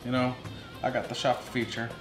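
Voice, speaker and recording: A man's voice: mid-range voice, completely flat delivery, brisk, fairly bright tone. A man hosting a casual home cooking video, cracking jokes and bantering with his wife. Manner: joking, playful banter. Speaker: cooking show host. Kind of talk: casual cooking vlog chat. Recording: echoey room, faint music.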